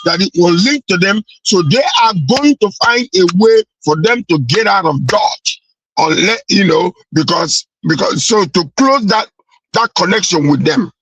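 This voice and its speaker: A man's voice: high-pitched, expressive, unhurried, fairly bright tone, breathy edge, dry recording, rough recording. A Nigerian-accented man speaking as a panelist in a political discussion, emphatic and repeating his phrases.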